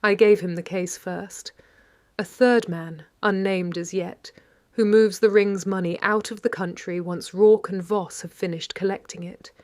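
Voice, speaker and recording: An actress with a British accent playing a shrewd 1930s London lady detective, narrating in a measured, wry and precise way. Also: mid-range voice, steady tone, a little mellow, no echo, clean studio sound, close to an everyday voice. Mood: wry, probing. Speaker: narrator and lead character. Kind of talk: first-person detective audio drama narration.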